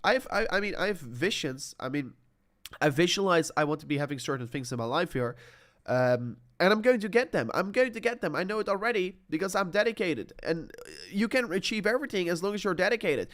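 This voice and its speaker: A man's voice: sits high, expressive, brisk, a bit bright. A man in his twenties talking in a rambling, motivational vlog monologue. He fills with "I mean" and "like", repeats phrases and corrects himself often. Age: 20s. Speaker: crypto YouTuber.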